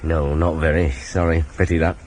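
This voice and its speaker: An actor playing a practical, sceptical police sergeant in a 1980s radio drama, matter-of-fact and questioning. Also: male voice, extremely low pitch, level tone, normal speed, darkish tone. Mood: measured, sceptical. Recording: old radio broadcast, faint car noise.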